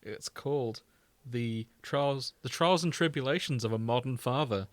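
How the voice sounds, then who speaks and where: A man's voice: medium pitch, normal speed, no echo. A man co-hosting a podcast in casual chat, with a wry, self-deprecating manner. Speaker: podcast co-host.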